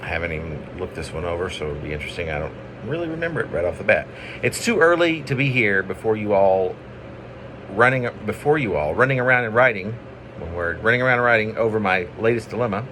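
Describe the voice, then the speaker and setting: Man, poetry reading, very lively intonation, chatty self-deprecating asides, playfully dramatic, noticeable background hum. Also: lowish voice, quick pace. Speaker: poet reading own work.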